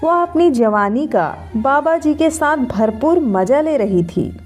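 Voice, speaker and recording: A woman reading a scripted story in an expressive storytelling manner. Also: high-pitched, slow pace. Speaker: story narrator. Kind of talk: scripted Hindi story narration. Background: background music.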